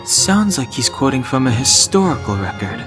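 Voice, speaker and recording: A man's voice: mid-range voice, very animated, normal speed, music in the background, lightly acted. A man playing a reserved, analytical young traveler in a video game, serious, terse and matter-of-fact. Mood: calm, serious. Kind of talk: video game cutscene voice acting.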